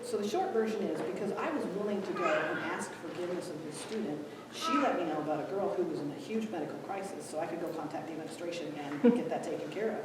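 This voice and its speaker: A woman who grew up in Ethiopia gives a lively conference talk and acts out bits of dialogue as she goes. Her voice is picked up from a distance and rings through a big echoey hall, with a steady hiss of room noise underneath. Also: on the low side, quick pace, hardly any pauses. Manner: animated, acts out quoted dialogue. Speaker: conference speaker. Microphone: distant room mic.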